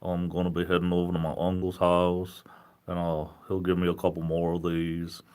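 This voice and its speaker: A man's voice: sits low, monotone, moderate pace, darkish tone, clear, resonant tone, lightly acted. A man voicing a drawling Southern country man with a put-on West Virginia accent. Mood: easygoing.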